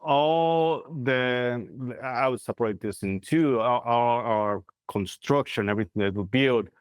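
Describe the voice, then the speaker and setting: Interview guest: man in his forties with a Brazilian accent, smooth voice, halting English, dry room. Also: on the low side, no echo. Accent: Brazilian Portuguese. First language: Portuguese. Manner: halting, searching for words with many fillers. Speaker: guest, corporate real estate head. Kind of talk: video call interview. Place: plain indoor room. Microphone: lapel mic on video call.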